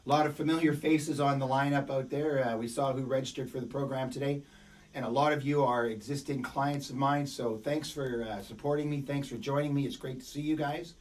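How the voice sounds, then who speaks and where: A man's voice: mid-range voice, steady tone, a little fast, far from the mic, low-quality recording. A middle-aged man coaching a webinar in an emphatic, repetitive motivational way, his voice worn by a cold. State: fighting a cold, voice a bit worn. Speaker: business coach. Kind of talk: webinar teaching.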